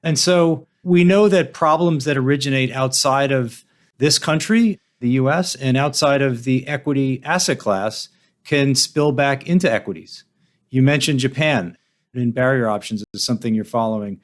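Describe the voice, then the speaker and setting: Mid-pitched male finance podcast host. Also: moderate pace. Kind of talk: conversational finance interview.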